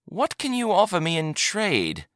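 Sly alien merchant voiced by a man, ingratiating haggler for a sci-fi audiobook, animated and forward in tone. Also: sits high, measured pace, no room sound, studio quality, pitched above the performer's own voice, heavily acted. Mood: coy bargaining. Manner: ingratiating, conspiratorial, dramatic haggling. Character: sly, flattering male alien trader, youthful, theatrical salesman.

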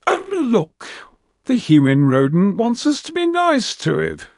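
A man's voice: very high voice, wildly swooping pitch, unhurried, a little mellow, no echo, studio quality, pitched above the performer's own voice, exaggerated voice. A man voicing a haughty elder male cat-like alien villain, contemptuous and threatening in an audiobook. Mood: scornful. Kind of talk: audiobook character voice.

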